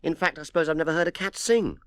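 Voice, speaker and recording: A man's voice: fairly high pitch, quick pace, a bit bright, clear, resonant tone, no room sound, clean studio sound, voice pushed up, close to an everyday voice. A man voicing a curious, earnest young boy in a children's story, wondering aloud and a little bossy. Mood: amazed.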